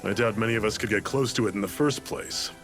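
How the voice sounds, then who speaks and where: An actor playing a calm, measured male rebel leader in a video game. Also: on the low side. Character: composed male rebel leader, wry and resolute. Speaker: resistance leader. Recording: game audio with faint music.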